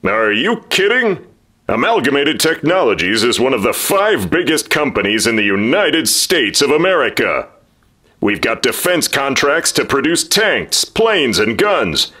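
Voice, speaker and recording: Man playing a brash, animated fifties corporate boss. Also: medium pitch, a little slow, breathy edge, full character voice. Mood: boastful. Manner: blustering, boastful, brusque. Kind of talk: 1950s radio drama acting.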